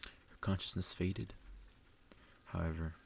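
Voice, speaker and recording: A man reading a story aloud in a soft ASMR style, hushed and intimate, often slipping into whispers. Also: low-pitched, little pitch movement, takes it slowly, vocal fry. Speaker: ASMR reader. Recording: faint background noise.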